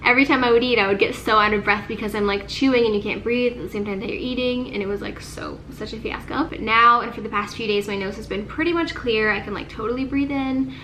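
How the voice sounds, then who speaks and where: A woman's voice: on the high side, quick pace, hardly any pauses, a bit bright, slight background noise, rough recording. A young woman chatting casually to camera, her voice nasal and congested from illness, filling her talk with "like.". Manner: chatty, sprinkles speech with "like". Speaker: vlogger.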